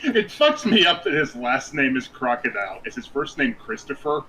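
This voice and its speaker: A man's voice: a little high, wildly swooping pitch, a little slow, pushed and bright, slight background noise, rough recording. A man co-hosting a casual podcast, making crude jokes and laughing at his own lines. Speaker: podcast co-host. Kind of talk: casual chat.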